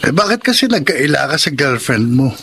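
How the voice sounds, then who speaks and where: A man playing a calm, loyal young friend in a Filipino radio drama, inquisitive and reassuring. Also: a little high, a little fast, heavily acted. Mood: calm, concerned. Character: young male confidant Kenny, calm, loyal advising friend. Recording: faint background noise.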